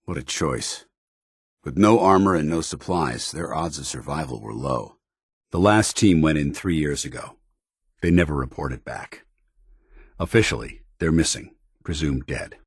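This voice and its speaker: Casting: gruff, honorable military commander for a game, rasping voice, formal and earnest, very dry close recording. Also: male voice, a bass voice, expressive, measured pace, no room sound, exaggerated voice. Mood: grave, regretful. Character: stern, duty-bound military paladin, gruff and honorable adult man. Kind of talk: video game companion dialogue.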